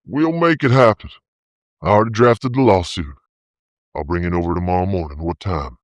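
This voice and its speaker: An actor playing a conspiring lawyer and secret lover in a radio drama. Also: male voice, low voice, moderate pace, dry recording, muffled recording, close to an everyday voice. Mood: conspiratorial.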